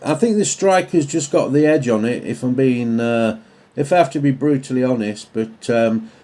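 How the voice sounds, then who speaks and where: A man talking casually and in a measured, hesitant way as a CB radio operator. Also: mid-range voice, dark tone.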